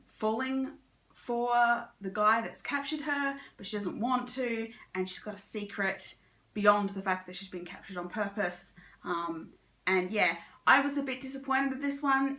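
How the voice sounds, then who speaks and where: A woman's voice: medium pitch, pushed and bright, roomy sound. A woman chatting casually and informally as she reviews books, giving her opinions freely. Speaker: book reviewer and vlogger. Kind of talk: casual monthly reading wrap-up chat.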